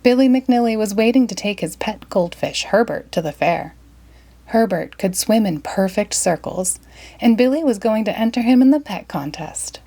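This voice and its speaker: A woman narrates a children's storybook with a very lively, swooping delivery. Her pitch sits in a middle range but moves a great deal as she tells the tale expressively and dramatically. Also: normal speed. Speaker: storybook reader.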